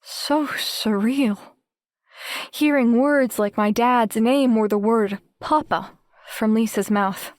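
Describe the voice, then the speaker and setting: A woman playing a wary, introspective teenage girl who narrates her own story in a measured audiobook style. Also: a little high, a little mellow, dry recording, studio quality. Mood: wary, bemused. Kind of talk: first-person audiobook narration.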